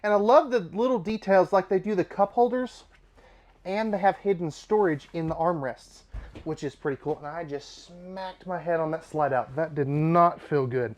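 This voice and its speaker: A man's voice: high voice, moderate pace. A man presenting an RV dealership walkthrough from inside a travel trailer, upbeat and chatty with casual asides, his voice slightly nasal from allergies. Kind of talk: product walkthrough tour.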